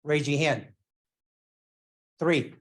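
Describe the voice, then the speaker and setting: A man moderating a real estate sales meeting panel, earnest and encouraging, with halting starts. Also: on the high side. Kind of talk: casual meeting talk. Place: office meeting room.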